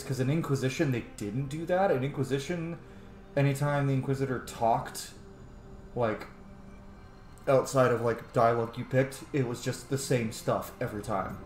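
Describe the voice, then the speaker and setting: A man's voice: medium pitch, darkish tone. Casual male gamer in a roomy space. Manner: musing aloud, informal. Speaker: gaming YouTuber. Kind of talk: casual video game playthrough commentary. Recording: roomy echo, game music behind. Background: video game music.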